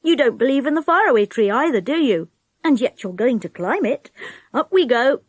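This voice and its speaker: A cheeky young boy teases and gives warnings, as a woman plays him in a storybook. The delivery is lively, in a dry close recording. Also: high voice, moderate pace, dry recording. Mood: teasing. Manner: teasing, grinning.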